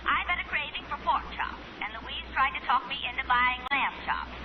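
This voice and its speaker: A woman's voice: high-pitched, expressive, a little fast, music in the background. A woman playing a chatty, stubborn, opinionated mother of a grown daughter in a 1940s radio sitcom. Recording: muffled, noisy 1940s radio recording.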